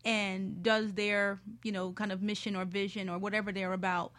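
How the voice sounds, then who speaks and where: Woman panelist with a medium-pitched voice. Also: little pitch movement, moderate pace, fairly bright tone. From Philadelphia, United States. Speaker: panel guest giving advice. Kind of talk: casual explanatory talk.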